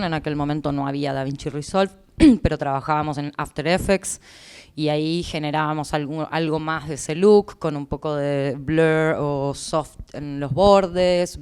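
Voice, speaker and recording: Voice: mid-range voice, smooth. An interpreter with an Argentine Spanish accent, explaining to listeners in consecutive turns at a conference.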